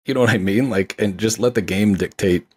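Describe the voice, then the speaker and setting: A man with a lowish, rough-edged voice talks casually as a guest on a film podcast. He is enthusiastic and conversational, laying out his opinions freely. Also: fairly quick.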